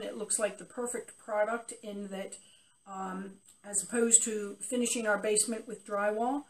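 A woman's voice: medium pitch, distant mic, slight background noise, rough recording. An older woman giving an unscripted customer testimonial in an interview, recorded in a basement a few feet from the camera. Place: cluttered unfinished basement.

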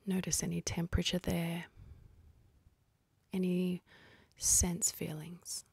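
A woman leading a guided meditation in a soft, husky, breathy voice, speaking calmly and gently with unhurried pauses. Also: on the low side, no echo. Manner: calm, gentle, unhurried instructing with long pauses.

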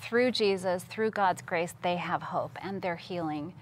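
A woman's voice: on the low side, unhurried, a little hiss or hum. A woman in her thirties from Costa Rica, talking conversationally and earnestly as a studio interview guest. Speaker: interview guest, missions worker. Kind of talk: conversational testimony. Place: TV studio, seated at a table.

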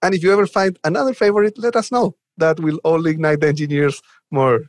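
Higher-pitched man. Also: fairly even intonation, fast, no room sound, clean studio sound. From Chile. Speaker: podcast guest, software developer advocate. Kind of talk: conversational interview answers.

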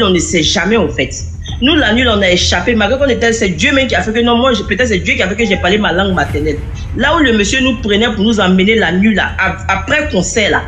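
A woman's voice: medium pitch, a bit bright, a little hiss or hum. A young woman telling a personal story in a rambling, repetitive way. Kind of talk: casual spoken testimony.

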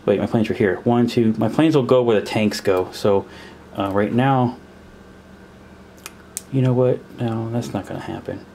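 A man's voice: lowish voice, moderate pace, creaky, long pauses, dark tone, slight background noise. A man playing a war board game at a table, counting pieces aloud and puzzling over the rules as he goes. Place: indoors at a table.

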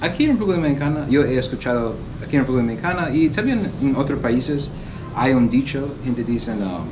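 A man's voice: medium pitch, lively intonation. Male host, Spanish, fumbling, filler-heavy, noisy patio sound. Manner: halting, searching for words, many fillers. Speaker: interviewer and channel host. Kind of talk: casual street interview. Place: outdoors on a patio. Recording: background noise.

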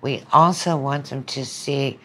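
A woman's voice: a bass voice, steady tone, a little slow, fairly bright tone. A woman lecturer speaking as a podcast guest, explaining things in a conversational way.

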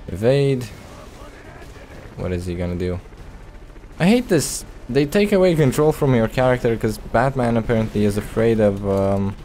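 Slow-talking, mellow-voiced man gaming. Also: lowish voice, slight background noise. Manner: playful, joking, mutters reactions to the game. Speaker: Let's Play host. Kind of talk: casual video game commentary. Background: video game sounds.

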